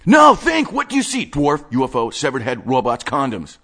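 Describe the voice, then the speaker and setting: A man doing a manic, high-pitched tape hoarder. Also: natural voice. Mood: frantic. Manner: rapid, excitable, rambling. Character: manic obsessive male tape collector. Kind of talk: audiobook character voice.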